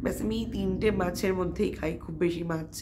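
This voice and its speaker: A woman's voice: fairly low pitch, fairly even intonation, fast talker, noisy background. A young adult woman with a Bengali accent talking casually as a home vlogger, mixing in English phrases. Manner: mixes English phrases into talk. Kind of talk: casual home vlog chat.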